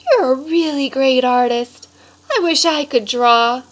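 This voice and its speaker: Woman putting on a high-pitched, self-doubting little boy. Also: unhurried. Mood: admiring.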